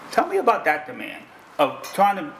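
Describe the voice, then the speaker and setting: A man's voice: a little high, wildly swooping pitch, moderate pace, gravelly, fairly bright tone, reverberant, a little hiss or hum. A man from New York with a New York accent, hosting a casual interview in a loose, chatty way with many fillers and restarts. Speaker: host.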